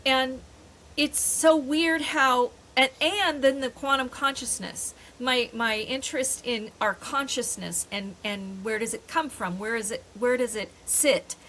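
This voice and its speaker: An older woman co-hosting a podcast in casual chat, rambling and often pausing to search for words. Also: high-pitched, fairly bright tone, rough recording. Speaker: podcast co-host. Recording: faint background noise.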